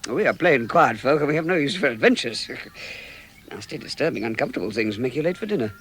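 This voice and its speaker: Fussy, animated hobbit. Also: male voice, medium pitch, a little fast. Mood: genial. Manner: stammering, chuckling, flustered politeness. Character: fussy, genial, easily flustered male hobbit. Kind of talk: vintage British radio drama acting.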